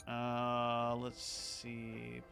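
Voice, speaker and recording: A man's voice: mid-range voice, completely flat delivery, drawn-out words, gravelly, a bit bright, faint music behind. A man streaming a game, chatting casually and informally with some swearing. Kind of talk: casual chat over gameplay.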